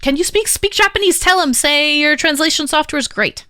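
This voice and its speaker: A woman's voice: high voice, fairly quick, bright, forceful tone, dry recording, clean studio sound. A woman streamer telling a personal story to her chat, candid and rambling with lots of fillers. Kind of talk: casual chat to stream viewers.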